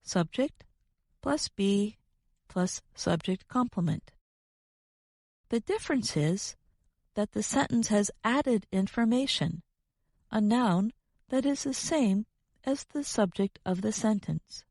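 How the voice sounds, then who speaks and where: Female educational narrator, mid-pitched, slow scripted delivery, close dry sound. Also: animated, a little mellow, no room sound. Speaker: grammar lesson presenter.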